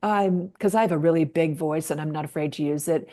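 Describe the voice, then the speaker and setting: A woman's voice: sits low, fairly quick, mellow. A middle-aged woman hosting a casual online chat on a video call, bubbly and emphatic, often laughing. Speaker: host.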